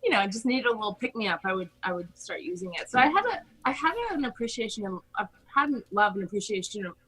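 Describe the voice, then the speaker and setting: Bright-voiced, chatty American woman. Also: medium pitch, animated, fast, slightly rough, poor audio. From Hawaii, United States. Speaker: podcast guest. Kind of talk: casual personal storytelling in an interview.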